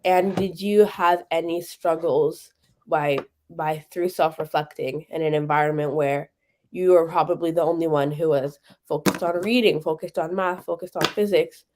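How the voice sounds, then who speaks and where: A man's voice: fairly high pitch, steady tone, normal speed, a little mellow, a little hiss or hum. A man co-hosting a talk show, thoughtful and a little hesitant as he speaks. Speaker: co-host. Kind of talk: casual talk show chat.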